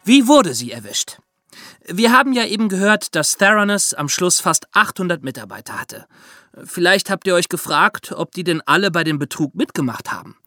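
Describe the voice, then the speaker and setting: A man's voice: high voice, big pitch swings, normal speed, pushed and bright, no room sound, studio quality, faint music behind. A young man co-hosting a podcast, explaining in scripted narration. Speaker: podcast co-host.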